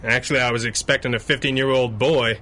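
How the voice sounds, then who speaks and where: A man's voice: mid-range voice, steady tone, fast, bright, forceful tone, close to an everyday voice. An actor playing a glib, easygoing masked man posing as a superhero in a 1930s-style radio adventure. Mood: glib, confident.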